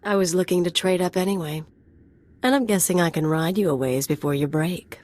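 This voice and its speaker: An actress playing a brash, ambitious young mercenary in a video game, with a sly, sardonic drawl. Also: female voice, fairly low pitch, a little fast, a little mellow, close to an everyday voice. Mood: cocky, challenging. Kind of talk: video game character dialogue.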